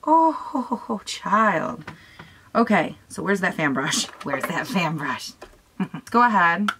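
A raspy young woman in her twenties chats her way through a makeup tutorial in a small room at home, gushing over colors and teasing herself. Her voice sits a little low, and a faint hiss runs under her close camera mic. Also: big pitch swings, slow, fairly bright tone. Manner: gushing and chatty, talks to herself. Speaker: beauty vlogger. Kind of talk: casual makeup tutorial chat.

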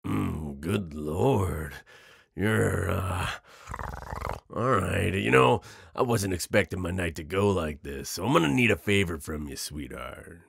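A man acts out a predatory male werewolf for an intimate audio drama. He is very low and gravelly, amused and flirtatious with an edge of menace. The words come in a slow, teasing drawl, close and dry. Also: animated, no room sound, full character voice. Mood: sly. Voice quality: gravelly, husky. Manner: drawling, teasing, with breathy chuckles and hesitant asides.

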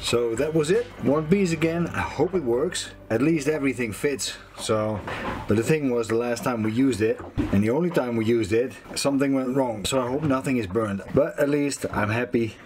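A man in his fifties casually talking through a motor repair at a small workbench, thinking aloud as he works. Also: mid-range voice. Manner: slow, relaxed, thinking aloud while working with his hands. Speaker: sailing vlogger repairing boat gear. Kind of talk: casual how-to narration. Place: small workshop bench aboard a boat.

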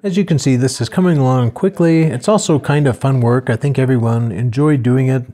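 Older man narrating in a mellow voice. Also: medium pitch, brisk. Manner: plain, steady, matter-of-fact explaining. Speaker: homesteader narrating a build video. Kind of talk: relaxed voice-over narration.

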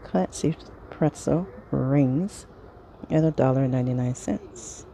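A woman casually reading through a sales flyer item by item, pausing between phrases in an unhurried way. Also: rumbling low, creaky, dark tone, slight background noise. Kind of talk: casual product read-through.

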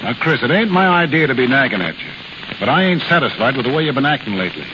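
Man playing a gruff carnival barker father, scratchy recording. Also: medium pitch, very animated, quick pace, close to an everyday voice. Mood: scolding. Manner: gruff, slangy, folksy. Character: gruff, domineering carnival barker father, protective of his son. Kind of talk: 1930s radio acting. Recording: scratchy, dull 1930s radio broadcast.

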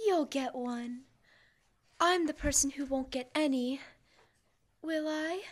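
High, lovestruck young woman played by a student actress. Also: takes it slowly. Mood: coy, hinting. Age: young adult.

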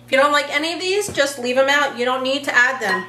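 Woman, thirties, home kitchen, cooking tutorial, friendly instructions, high-ish voice. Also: fast talker, bright, forceful tone, rough recording. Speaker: home cook. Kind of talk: cooking demonstration.

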